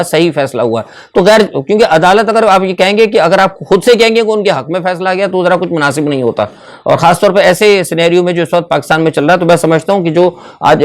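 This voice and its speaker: A man with a Pakistani accent giving unscripted, opinionated political commentary as a vlogger, conversational and mixing in English words. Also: fairly high pitch.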